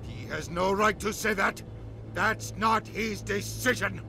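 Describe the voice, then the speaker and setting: An actor playing a politically minded human ambassador in a video game, with a firm, clipped, assertive manner. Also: male voice, high-pitched, steady tone, slow, music in the background. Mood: indignant. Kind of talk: dramatic video game dialogue.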